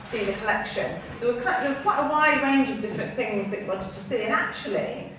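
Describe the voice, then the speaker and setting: A woman's voice: a little high, a little fast. A woman from a museum's collections side explaining its history at a gallery talk, with frequent hesitations. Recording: distant echoey mic with noise.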